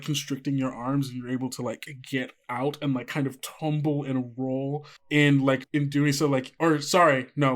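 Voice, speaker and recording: Man narrating a tabletop game. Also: medium pitch. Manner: conversational, piecemeal narration peppered with "like". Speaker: game master. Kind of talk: narrating a tabletop actual-play game, improvised.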